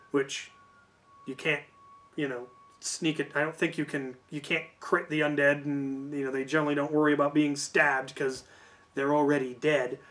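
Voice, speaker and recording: A man telling a casual, animated story about a tabletop game, as a host who acts out quoted lines. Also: a little high.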